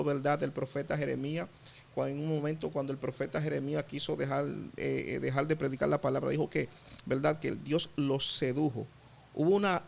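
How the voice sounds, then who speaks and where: A middle-aged Dominican man, a pastor, giving his testimony in an interview, halting often with fillers and repeated words. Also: a little high, gravelly. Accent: Dominican Spanish. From Dominican Republic.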